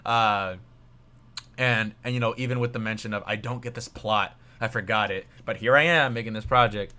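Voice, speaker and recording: A young man casually reviewing songs on a livestream, rambling with lots of fillers and trailing off quietly. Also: fairly low pitch, moderate pace. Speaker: music reviewer, streamer.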